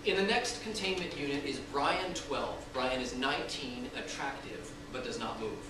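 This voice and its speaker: A man's voice: mid-range voice, normal speed. A man narrating the stage directions of a script at a live reading, in scripted present-tense prose. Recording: echoey room, distant mic, noisy.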